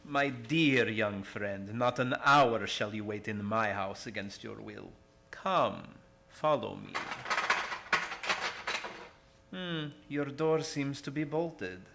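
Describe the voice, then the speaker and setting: A man's voice: mid-range voice, vocal fry, fairly bright tone, slight background noise. A man acting a courtly, menacing vampire count in an old-time radio horror play, speaking formally and with suave menace. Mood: mocking politeness. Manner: slow, formal, suavely sinister.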